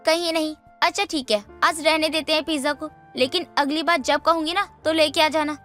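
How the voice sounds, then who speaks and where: A woman's voice: sits high, steady tone, brisk, bright, forceful tone, soft background music. A woman voicing a haughty young wife in a Hindi story cartoon, snappish, bossy and contemptuous. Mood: curt, guarded. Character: haughty, scornful young wife berating her husband. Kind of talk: Hindi animated story dialogue.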